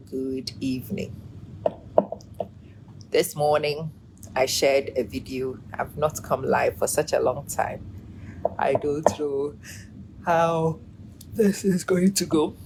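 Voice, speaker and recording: A low-pitched woman in her forties hosts a chatty live stream. She laughs often, greets viewers by name and sounds short on energy, with a faint hum of noise behind her. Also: measured pace, long pauses. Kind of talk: casual live-stream commentary.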